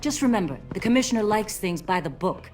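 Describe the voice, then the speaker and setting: A woman plays a tough, loyal policewoman in a dramatic video game. Her pitch moves in a lively way and her manner is plain-spoken and defiant. Faint music runs underneath. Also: fast, close to an everyday voice. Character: tough, loyal, no-nonsense female police officer.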